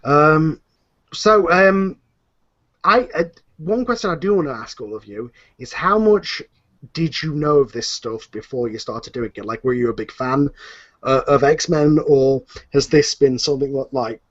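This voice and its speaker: A man's voice: fairly high pitch, lively intonation, measured pace. A man who writes an audio drama, talking enthusiastically in a casual panel chat, rambling with many fillers and restarts. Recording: muffled lo-fi recording.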